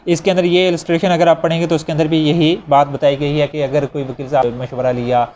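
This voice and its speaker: A man's voice: on the high side, level tone, brisk, darkish tone. A man with a Pakistani accent gives an explanatory law lecture, reading out clauses and then repeating and rephrasing them in a didactic way. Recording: clearly noisy recording with ambient sound.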